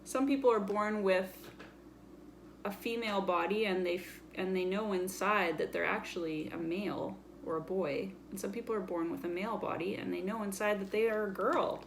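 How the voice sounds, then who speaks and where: A woman's voice: mid-range voice, normal speed, creaky, a little hiss or hum. A woman presenting a children's story time, chatting casually and playfully as she shows things and explains them simply. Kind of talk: casual show-and-tell for children.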